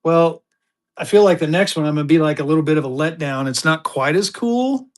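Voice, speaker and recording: A man hosting a live-stream auction of paper money, chatty, jokey and excitable. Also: a little high, a little fast. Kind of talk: casual live-stream selling chat.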